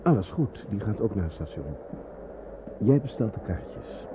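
An actor playing a brisk, fatherly resistance man who gives kind but firm orders in a radio drama, on an old recording. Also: fairly low pitch, big pitch swings, narrow, tinny audio, noisy background. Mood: instructing. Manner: brisk, instructing, reassuring.

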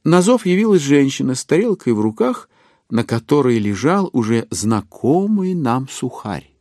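A man's voice: medium pitch, very animated, normal speed, darkish tone, dry recording, studio quality. A man narrating classic literature in a dramatic style for an audio play. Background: music under the narration.

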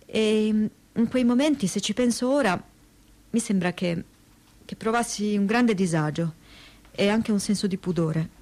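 A woman acting a troubled, reflective daughter of a Holocaust survivor in a staged testimony reading, with searching, halting phrasing. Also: medium pitch, darkish tone, close to an everyday voice. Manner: hesitant, searching phrasing with pauses and repetitions. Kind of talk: dramatic reading of spoken testimony.

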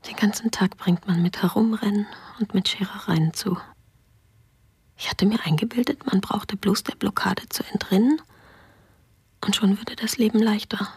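An actress whispering the diary of an exhausted woman refugee for a radio drama, raspy and tired. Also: medium pitch, steady tone, moderate pace, a little mellow, no room sound. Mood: weary.

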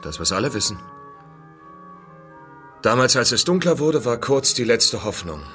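An actor playing a world-weary, sardonic male expedition veteran in a radio drama, speaking and narrating in a dry, deliberate manner. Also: mid-range voice, sing-song, pushed and bright, faint music behind. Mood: reflective. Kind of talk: radio drama dialogue and first-person noir narration.

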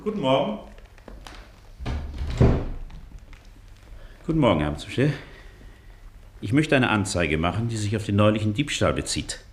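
An actor in a German radio play, playing an earnest, formal doctor who is stubborn and easily offended. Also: male voice, lowish voice, big pitch swings, fast. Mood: polite, formal. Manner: formal, hesitant, circumstantial.

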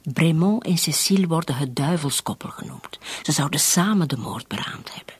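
A woman playing a commissioner's inquisitive, persistent wife in a radio drama, with a husky, breathy voice. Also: low-pitched, animated, moderate pace, full character voice. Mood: curious, insistent. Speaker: actor in a radio play. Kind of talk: Dutch-language radio drama dialogue.

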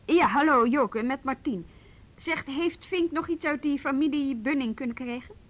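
A woman's voice: high voice, normal speed, lightly acted. An actress in a radio play playing a firm but kindly policewoman who is someone's superior at work. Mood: businesslike.

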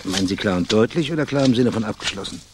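A man's voice: lowish voice, lively intonation, fast talker, background noise, natural voice. An actor playing a dry, sardonic male private detective in a radio crime drama, speaking laconically and wryly. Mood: dry, inquiring. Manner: laconic, wry, probing questions.

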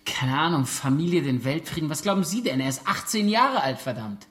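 An actor playing a defensive former soldier and military contractor in a radio drama, speaking in a curt, guarded way. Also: male voice, a little high, expressive, fairly quick, fairly bright tone. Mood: irritated.

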